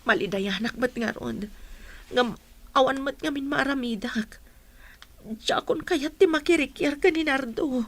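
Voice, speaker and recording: High-voiced, troubled Ilocano mother. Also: unhurried, pauses often, dry recording. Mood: sorrowful. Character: troubled, pleading mother of a student. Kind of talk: radio drama acting in Ilocano.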